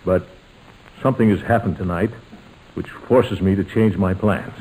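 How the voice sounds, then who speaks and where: Man playing a deep-voiced, sentimental radio uncle. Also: fairly even intonation, moderate pace, darkish tone, natural voice. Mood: warmly ceremonious. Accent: American. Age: middle-aged. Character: sentimental middle-aged uncle and family patriarch, hesitant, tender. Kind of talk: old-time radio sitcom acting. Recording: noisy vintage radio recording.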